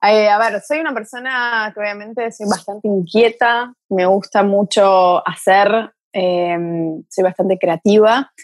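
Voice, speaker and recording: A woman. She rambles through her answers with fillers in a middle-pitched voice. The sound is dry and close, with no room echo. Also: steady tone, unhurried, few pauses. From Brazil. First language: Portuguese. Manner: chatty, thinks aloud with fillers. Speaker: guest, mentor to mothers. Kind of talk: casual interview chat.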